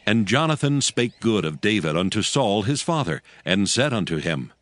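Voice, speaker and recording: A man's voice: lowish voice, big pitch swings, moderate pace, fairly bright tone, no room sound. A man narrating scripture as a solemn storyteller, reading from a script. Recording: studio narration over music bed. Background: background music.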